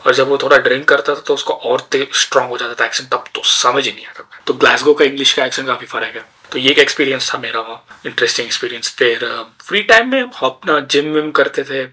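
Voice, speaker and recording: A young man from India telling stories about his student days abroad in a chatty, opinionated way, with many filler pauses. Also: mid-range voice, sharp tone, slightly rough. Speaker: podcast host. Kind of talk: casual storytelling monologue.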